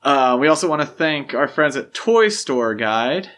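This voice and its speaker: A man's voice: fairly high pitch, very animated, measured pace, fairly bright tone. A man co-hosting a podcast, chatting casually and giving his opinions with emphasis. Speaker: podcast co-host. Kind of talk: casual film discussion chat.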